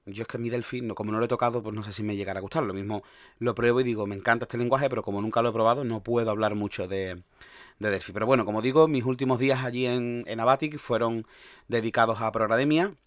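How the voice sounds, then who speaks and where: A man from Andalusia with a softened Andalusian accent, hosting a solo podcast in a rambling, restarting way. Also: mid-range voice, fairly even intonation, fast talker, dry recording, clean studio sound. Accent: Andalusian Spanish, softened. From Andalusia, Spain. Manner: rambling, with frequent restarts and fillers. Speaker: podcast host.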